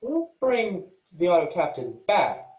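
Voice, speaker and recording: An actor playing an earnest, cautious but excitable male spaceship programmer in a staged sci-fi comedy reading. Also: medium pitch, unhurried, narrow, tinny audio. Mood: wry, easygoing. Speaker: actor in a student play reading. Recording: roomy echo.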